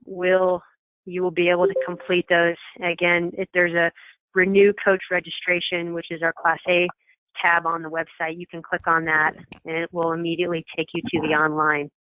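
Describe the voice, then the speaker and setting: A woman's voice: fairly low pitch, no room sound. Woman briefing coaches over a tinny phone line. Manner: chatty, many ums and repeated words. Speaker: staff member briefing coaches. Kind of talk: conference call briefing.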